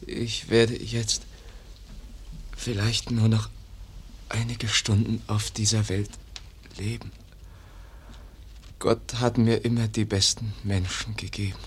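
A man in a radio play acting a gentle, exhausted young man on his deathbed, speaking haltingly and drifting into whispers. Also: fairly low pitch, flat delivery. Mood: fading, grateful. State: dying, feverish, very weak. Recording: noisy archive radio play recording.

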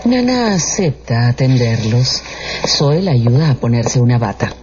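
A man's voice: mid-range voice, lively intonation, a little slow, a little mellow. A man narrating a scripted radio drama in a storytelling role. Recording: dull radio recording with music.

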